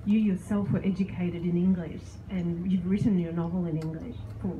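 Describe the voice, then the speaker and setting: A woman's voice: lowish voice, steady tone, normal speed. A woman interviewing an author at a bookstore event, asking long, curious, rambling questions. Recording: dull, slightly noisy event recording.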